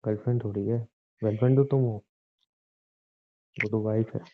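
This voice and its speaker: A man's voice: lowish voice, steady tone, moderate pace, close to an everyday voice. A man playing a shifty, defensive cheating boyfriend who insists and justifies himself in a phone-call argument. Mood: brazen. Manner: pleading, insistent, self-justifying. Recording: tinny narrow-band phone line.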